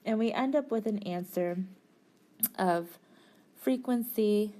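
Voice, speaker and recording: Slow-paced, mellow woman tutor. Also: medium pitch. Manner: methodical, informal teaching. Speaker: physics tutor. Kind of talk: step-by-step worked problem explanation.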